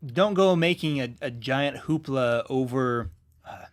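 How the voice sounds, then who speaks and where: A man co-hosting a podcast in casual conversation, feeling his way through points with halting, rephrased sentences. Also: mid-range voice. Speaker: podcast co-host. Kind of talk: casual conversational discussion of storytelling.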